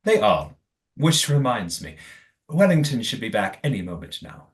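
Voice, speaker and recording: A man's voice: fairly low pitch, sing-song, moderate pace. A man playing a courteous master of a secretive old manor in an audio play, with formal, precise wording. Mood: formal, instructive.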